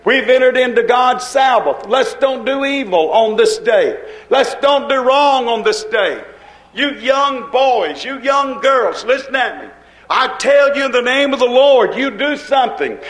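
Man, preacher, fiery sermon, repetitive rising cadence, high voice, roomy church sound. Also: expressive, a little slow, a bit bright.

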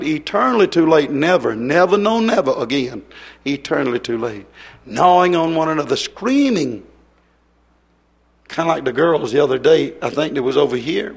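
A hoarse man preaching a fiery revival sermon, hollering and then dropping soft. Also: a little high. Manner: hollering, swings from loud to soft.

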